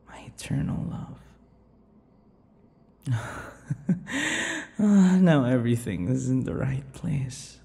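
A man's voice: medium pitch, dark tone, big-room sound, a little hiss or hum, exaggerated voice. A man playing an obsessive, vain, sadistic vampire lover in an intimate ASMR roleplay, drawing out words and slipping into whispers. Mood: obsessive, tender. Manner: slow, breathy whispers and giggling, drawn-out words. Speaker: roleplay voice actor.

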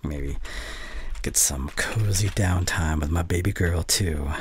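A man playing a tender, anxious young boyfriend in an ASMR audio drama, speaking intimately and haltingly with breathy sighs. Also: low voice, slight background noise. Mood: relaxed, musing.

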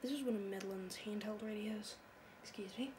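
A woman's voice: mid-range voice, normal speed. A woman vlogging casually, muttering and thinking aloud as she browses at a computer. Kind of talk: casual chat while browsing online. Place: indoors at a home computer. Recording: clearly noisy home recording, loud background noise.

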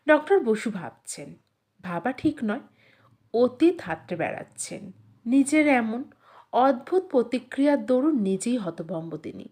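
High-pitched, animated woman narrating a story. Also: breathy edge. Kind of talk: dramatic literary story narration.

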